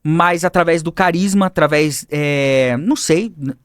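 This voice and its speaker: A man telling his life story as a podcast guest, animated and acting out the people he quotes, on a studio mic. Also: on the high side, a little slow, clean tone, dry recording. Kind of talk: personal storytelling in a podcast interview.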